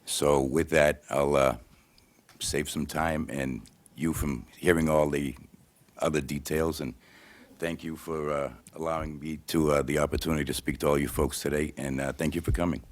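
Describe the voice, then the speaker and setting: A man running for re-election at a local candidates forum, giving a brief, halting, unprepared opening statement full of ums and uhs into a table microphone. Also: very deep voice, little pitch movement. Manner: plain-spoken and brief. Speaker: incumbent candidate at a town forum. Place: public candidates forum in a meeting hall.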